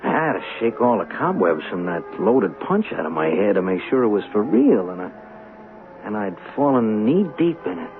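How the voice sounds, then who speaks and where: An actor playing a cocky, wary young hustler in a radio drama, with a slangy, streetwise manner. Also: male voice, on the low side, very animated, creaky. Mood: cynical, narrating. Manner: streetwise, slangy, halting when cornered. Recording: muffled narrow-band radio broadcast with music.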